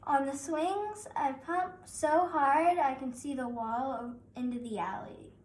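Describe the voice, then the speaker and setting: Child female narrator, around nine: high voice, measured slow storybook reading, recorded in an echoey room. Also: darkish tone, recorded from a distance. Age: child, about nine. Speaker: reader of a picture book. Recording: roomy echo, faint background noise.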